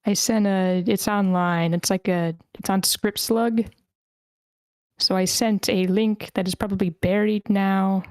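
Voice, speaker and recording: A woman's voice: mid-range voice, fairly even intonation, unhurried, darkish tone, clean tone, no echo, studio quality. A woman hosting and narrating an informal online screenplay reading, casual and prone to interrupting herself. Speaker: table read host and narrator.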